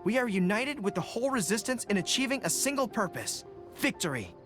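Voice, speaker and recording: A man's voice: sits high, big pitch swings, fairly quick, music in the background. An actor playing an earnest, spirited young male army general in a video game, speaking sincerely. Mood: respectful, thoughtful. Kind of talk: video game character voice lines.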